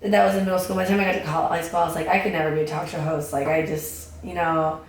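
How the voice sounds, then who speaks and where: A young woman, a podcast guest, chatting casually in a slightly raspy voice, full of fillers and restarts. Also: on the low side, flat delivery, fast talker. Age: young adult. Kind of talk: casual chat. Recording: echoey room, distant mic, faint noise.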